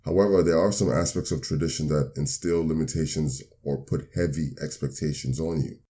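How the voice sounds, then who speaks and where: A man with a very deep voice hosts his own motivational podcast. His tone is mellow and warm. He speaks in an upbeat, earnest and measured way, slowing down when he repeats definitions. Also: steady tone. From Nigeria. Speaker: podcast host. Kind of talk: solo motivational podcast talk.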